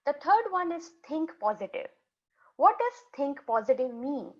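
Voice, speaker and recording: Higher-pitched woman presenting over muffled webinar audio. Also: moderate pace. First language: Urdu. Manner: steady explanatory, restarts words, repeats points. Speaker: health educator presenting a webinar. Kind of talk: slide presentation to students.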